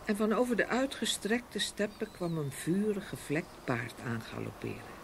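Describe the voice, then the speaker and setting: Storyteller for fairy tale: dramatic, very expressive pitch movement, gravelly edge, faint background noise. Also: normal speed. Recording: faint ambient noise behind voice.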